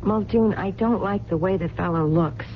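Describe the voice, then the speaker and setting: A woman playing a soft-hearted American diner waitress in a radio drama, casual and folksy in her talk. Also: mid-range voice, normal speed, close to an everyday voice. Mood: reluctant. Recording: dull lo-fi radio recording, faint noise.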